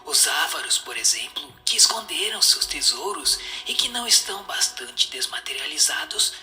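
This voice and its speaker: A man's voice: fairly high pitch, very animated, moderate pace, sharp tone. A man with a Brazilian accent giving answers in a solemn scripted, dramatized reading. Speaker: reader of the answers. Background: music.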